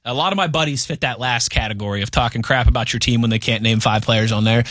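A man's voice: mid-range voice, fast talker, a bit bright, no room sound, studio quality. A man hosting a sports radio show, chatting casually and laughing easily.

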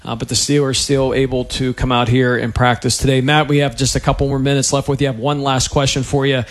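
A man's voice: mid-range voice, level tone, fast talker. A man hosting a live sports radio interview in a chatty, effusive, casual way.

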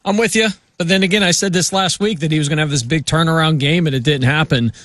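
A man with a somewhat high voice hosts a football talk segment. He is upbeat and joking as he moves the show along. The recording is dry and close. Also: fast, a bit bright, dry recording. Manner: upbeat, jokey, runs the segment. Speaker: sports talk show host. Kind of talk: sports talk show hosting.

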